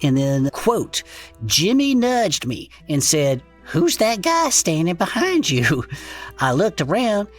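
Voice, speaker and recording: A performer playing a folksy, excitable male podcaster in a comedic audio drama, reading strange reports aloud with incredulous asides. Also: mid-range voice, slow pace, soft background music. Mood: amused, incredulous. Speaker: co-host reading case reports.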